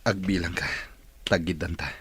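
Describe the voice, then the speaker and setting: A weary, suspicious male boss in a radio play. His voice is very low and rough, with a husky edge. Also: steady tone, moderate pace, dry recording. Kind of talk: radio drama acting.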